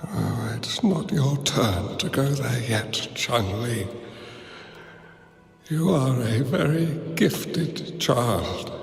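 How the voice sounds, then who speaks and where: A gravelly old mentor. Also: male voice, medium pitch, animated, full character voice. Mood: solemn. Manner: grave, measured, gravelly delivery. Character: wise old male mentor, calm and solemn. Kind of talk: game cutscene voice acting. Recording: roomy reverb with game music behind.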